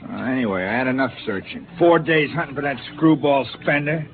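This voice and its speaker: A man's voice: medium pitch, lively intonation, fairly quick, close to an everyday voice. An actor playing a crude, drunken, scornful male crewman with a coarse, sneering manner in a 1950s radio drama; a tinny old broadcast with faint hiss. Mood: grumbling.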